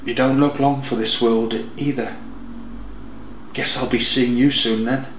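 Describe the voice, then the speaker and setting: A man voicing a regretful, emotional young dead man in an audiobook, speaking haltingly and correcting himself. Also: mid-range voice, fairly even intonation, roomy sound, slight background noise, natural voice, rough recording. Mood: resigned farewell. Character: regretful young dead man, tender son turning desperate.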